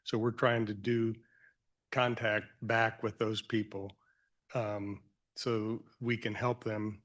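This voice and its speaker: A man's voice: on the low side, little pitch movement, takes it slowly, fairly bright tone. Hesitant man answering commissioners. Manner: hesitant, fillers and restarts. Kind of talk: public meeting testimony. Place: county commission meeting room.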